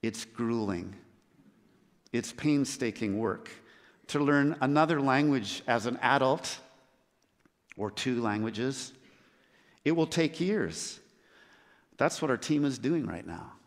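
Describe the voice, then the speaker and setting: Earnest preacher in a reverberant hall. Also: male voice, medium pitch, animated. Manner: earnest, with measured emphatic pauses. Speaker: missionary preacher. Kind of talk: sermon.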